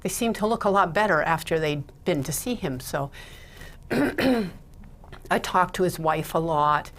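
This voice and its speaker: A middle-aged woman from Ontario speaks as a guest in a relaxed, chatty way, often laughing as she goes. Her voice sits low for a woman. A faint hiss of room noise stays under it. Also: unhurried. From Ontario, Canada. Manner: chatty, laughs between thoughts. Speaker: guest, Mitzvah Technique teacher. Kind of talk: casual interview talk.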